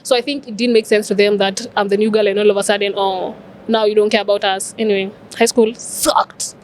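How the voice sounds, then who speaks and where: A woman's voice: mid-range voice, level tone, fairly quick, a little hiss or hum. A woman in casual chat, reflective and candid about her school days.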